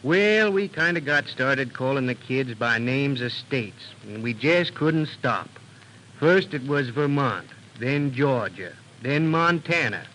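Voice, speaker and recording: A man's voice: mid-range voice, expressive, slow pace, pushed and bright. A man playing a proud, good-humored rural family man, telling his tale in homespun 1930s radio style. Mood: folksy, amused. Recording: noisy 1930s radio recording.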